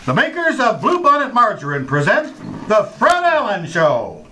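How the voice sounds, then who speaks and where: A man's voice: high voice, wildly swooping pitch, moderate pace. A man playing a grandiose, dramatic announcer in the style of old-time radio. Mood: grand, dramatic.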